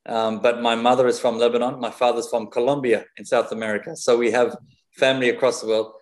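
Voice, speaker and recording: A man's voice: mid-range voice, flat delivery, quick pace. Australian man hosting an interview. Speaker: interview host. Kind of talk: conversational interviewing.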